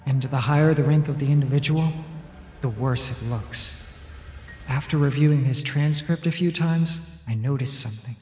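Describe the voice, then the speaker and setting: A man's voice: medium pitch, normal speed, darkish tone, soft background music, lightly acted. Man acting anxious teen schoolboy, echoing reverb. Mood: pensive, uneasy. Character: wary, anxious teenage schoolboy investigator. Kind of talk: dramatic comic dub acting with inner monologue.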